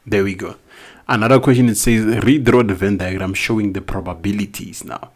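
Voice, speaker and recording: A man's voice: lowish voice, fairly even intonation, brisk, a little mellow. A man with a non-native English accent, teaching a recorded math lesson step by step, asking questions and answering them himself. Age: adult, not young.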